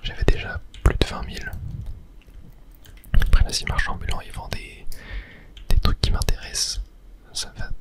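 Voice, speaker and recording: A gamer giving softly whispered ASMR commentary on a video game, with small clicky interjections. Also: moderate pace, pauses often. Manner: soft unvoiced whispering with small mouth-sound interjections. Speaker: gaming ASMR creator.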